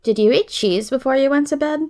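A woman hosting a podcast, reading scripted narration in a measured, articulate way. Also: high-pitched, darkish tone. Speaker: podcast host.

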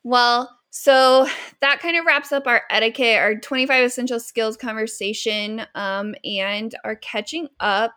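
A woman's voice: on the high side, measured pace, few pauses, sharp tone, clear, resonant tone, no echo. A woman co-hosting a podcast, teasing her co-host dryly and laughing often. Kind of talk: casual chat.

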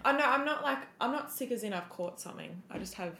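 Fast-talking woman, gravelly fry. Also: medium pitch, expressive, pushed and bright. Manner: laid-back, drawn-out, vocal fry. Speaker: podcast co-host. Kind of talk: casual chat.

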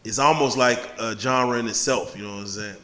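A man's voice: medium pitch, a little fast, a loud crowd. A man hosting a casual interview, asking long, rambling questions full of fillers. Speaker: podcast host. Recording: long reverb in a room.

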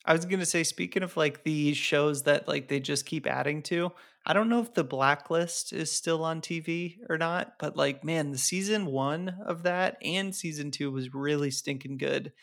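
A man's voice: on the high side, normal speed, vocal fry, few pauses, a bit bright. A man co-hosting a casual podcast, chatting in a laid-back, slangy way. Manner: slangy and laid back, says dude and bro. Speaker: podcast co-host.

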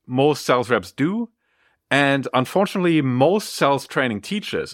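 A man reading a scripted business training article as narrator, in a clearly articulated, instructive way. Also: medium pitch, fairly bright tone, dry recording, clean studio sound.

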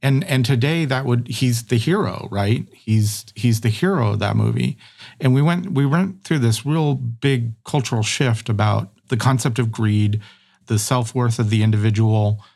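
Gravelly man rambling in conversation. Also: mid-range voice, normal speed, a little mellow. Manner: rambling, many fillers and restarts. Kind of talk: conversational podcast discussion.

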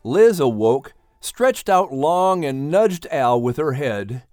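Guy narrating a kids animal story, lively pitch swings, lighter voice, dry studio sound. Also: slow, no echo, soft background music. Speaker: audiobook narrator.

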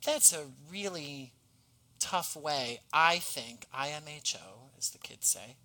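A man speaking as a podcast guest in a thoughtful conversational interview, articulate and earnest, with measured phrasing. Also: a little high, lively intonation, pushed and bright. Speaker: podcast guest, audio drama writer.

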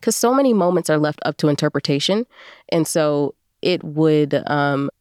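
A woman with a notably low voice chats as an interview guest. She is expressive and emphatic, and the recording is very dry, with hardly any room sound. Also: moderate pace, no echo. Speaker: guest voice performer. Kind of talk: casual conversational interview.

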